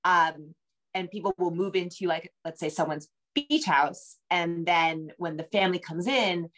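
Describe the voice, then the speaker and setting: Casting: American woman, middle-aged, slightly low voice, informal and halting, an author chatting on a video call. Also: fairly even intonation, a bit bright. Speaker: novelist and screenwriter guest. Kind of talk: casual conversational explaining. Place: room with bookshelves, indoors.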